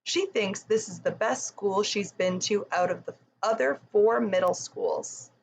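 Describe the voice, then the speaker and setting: Woman reading a kids book out loud, normal pitch, slight noise. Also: unhurried, creaky, rough recording. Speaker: storybook narrator. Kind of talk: scripted read-aloud narration of a children's book.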